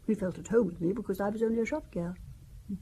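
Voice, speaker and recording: A woman's voice: medium pitch, fast, warm tone, slight background noise, natural voice. An actress playing a wealthy older widow who talks about her family in a wry, confiding, gossipy way, in a radio drama. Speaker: Valentine Besson.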